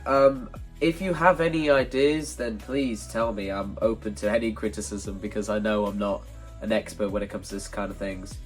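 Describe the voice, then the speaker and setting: A man with a mid-range voice, slightly congested from hay fever. He talks casually and modestly, rambling a little as he explains his ideas like a vlogger. Faint plucked guitar music plays behind him. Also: mid-range voice. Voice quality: slightly stuffy, congested nose. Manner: informal, self-deprecating, rambling. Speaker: video creator presenting a stage play concept.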